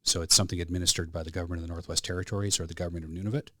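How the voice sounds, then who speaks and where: Low-pitched Canadian man telling stories. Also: level tone, racing, pushed and bright, dry recording, studio quality. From Alberta, Canada. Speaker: podcast guest, flight service specialist. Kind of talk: casual conversational storytelling. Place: podcast studio.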